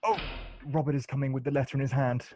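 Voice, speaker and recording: A man playing a witty, frank gentleman in his thirties in a period radio play. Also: medium pitch, steady tone, fast, a little hiss or hum, close to an everyday voice. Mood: urgent. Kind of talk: amateur radio play acting of a period comedy.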